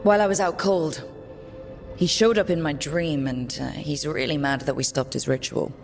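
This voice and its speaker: An actor voicing a calm, wry elven leader in a fantasy video game, explaining things casually and steadily over music. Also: medium pitch, moderate pace, vocal fry, close to an everyday voice. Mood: wry, serious. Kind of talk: video game cutscene dialogue.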